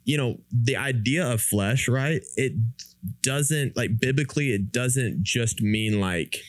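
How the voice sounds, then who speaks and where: A man's voice: medium pitch, slow, no room sound, studio quality. A man speaking as a podcast guest in an earnest, informal, emphatic way, in a conversational discussion of faith.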